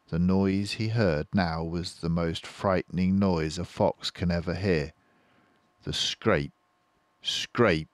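An older man reading a children's book aloud slowly and expressively, close to the mic in a quiet room. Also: sits low, no echo. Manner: slow, expressive, doing all the voices. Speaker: storyteller.

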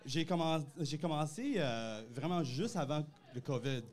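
A man in his forties, a native English speaker talking in French as a comedian guest on a podcast, pausing often with fillers into a handheld mic. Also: fairly high pitch, expressive, a little mellow. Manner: hesitant, many "euh" fillers. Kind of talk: casual interview. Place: dark podcast studio.

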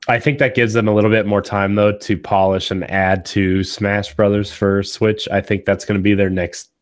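A young man with a cold-hoarse voice hosting a casual gaming podcast, rambling and restarting his thoughts, recorded on a studio mic at home. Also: fairly low pitch, flat delivery, moderate pace. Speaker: podcast host. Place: small home studio with green backdrop. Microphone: studio condenser mic on boom arm.

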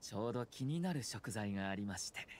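A man voicing a courteous, calculating young clan head in a Japanese anime game, smooth, formal and measured. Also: lowish voice, expressive, a little hiss or hum, close to an everyday voice. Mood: playfully courteous. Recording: game music underneath.

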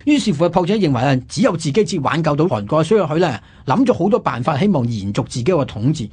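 A man's voice: fairly high pitch, very animated, fast, darkish tone, slightly rough. A male radio host with a Hong Kong Cantonese accent, telling history conversationally and emphatically with rhetorical questions.

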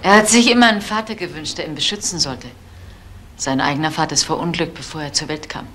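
Worried middle-aged mother, deep female voice, resigned and tender, old radio crime play, slight noise. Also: fast talker, bright, forceful tone. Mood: wistful, tender. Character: resigned, worried, devoted mother of a grown son.

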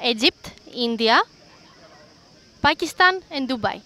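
A woman's voice: a little high, expressive, slow pace, sharp tone. A young woman hosting a street interview outdoors in a busy city square, asking passersby questions on a handheld mic. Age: young adult. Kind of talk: street vox-pop interview.

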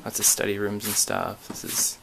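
A young man giving a casual, halting, understated walk-through tour on a handheld camera. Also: monotone, creaky, background noise. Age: young adult. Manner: low-key, halting, understated. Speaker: exchange student giving a tour. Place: indoors in a student dormitory, walking through rooms.

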